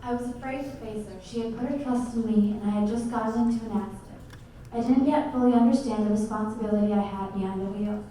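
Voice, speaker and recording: A woman's voice: fairly high pitch, fairly even intonation, fast, warm tone, a little hiss or hum. A teenage girl reading her essay aloud steadily. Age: teens. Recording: echoey room from far off.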